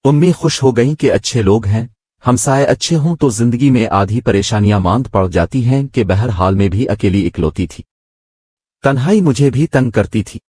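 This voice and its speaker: A man's voice: mid-range voice, lively intonation, normal speed, a little mellow, slightly rough, dry recording, clean studio sound. A man reading a scripted moral story as its narrator.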